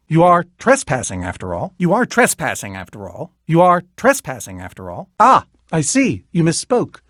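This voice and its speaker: A middle-aged man voicing an eccentric, lonely, excitable researcher in a video game, with verbose, pompous, theatrical diction. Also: on the high side, no room sound, studio quality, heavily acted. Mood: wounded, resentful. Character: eccentric, lonely, excitable male researcher obsessed with studying creatures. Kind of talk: animated video game voice acting.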